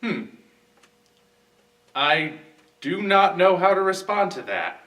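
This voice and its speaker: A man's voice: high voice, very animated, takes it slowly, fairly bright tone, recorded from a distance. A man giving scripted commentary as a guest film reviewer.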